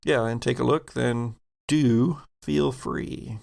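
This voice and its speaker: A man improvising narration as game master of a tabletop role-playing game, halting as he searches for words. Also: mid-range voice, steady tone, vocal fry, darkish tone.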